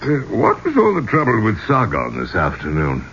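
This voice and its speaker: An actor playing a courteous, placating gentleman who leads an archaeological expedition in a 1970s radio drama. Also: lowish voice, wildly swooping pitch, moderate pace, heavily acted. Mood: concerned. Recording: muffled, hissy 1970s radio recording.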